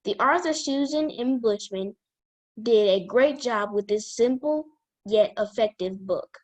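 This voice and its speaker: A woman reading a children's self-help book aloud as a guest reader, in a careful, halting manner. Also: on the high side, a little mellow.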